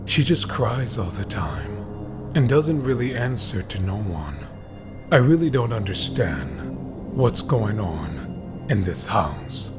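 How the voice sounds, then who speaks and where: A man reading scripted horror stories in a dramatic, deliberate way, with phrases that often trail into a hush. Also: lowish voice, sing-song. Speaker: horror story narrator. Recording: background music behind voice. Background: music.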